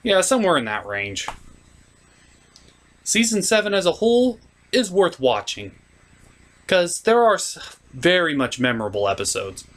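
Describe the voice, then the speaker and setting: A man's voice: sits high, sing-song, normal speed, pauses often, fairly bright tone. A man giving a casual, opinionated cartoon review as an online host, blunt and a bit showy.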